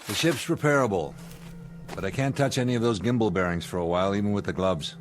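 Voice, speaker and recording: A male actor as a low-voiced spaceship commander in a science fiction radio play. He is gruff, practical and impatient, and talks in firm, clipped commands over prominent background music. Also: fast talker, lightly acted. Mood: matter-of-fact. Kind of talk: audio drama acting.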